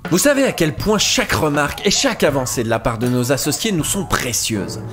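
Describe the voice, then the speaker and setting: An actor as a scheming start-up boss in a French audio comedy. The delivery is pompous and unctuous, laying on theatrical corporate flattery. Music plays faintly behind the voice. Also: medium pitch, fairly quick. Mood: grandly flattering. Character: smooth-talking, scheming start-up boss flattering rebellious staff.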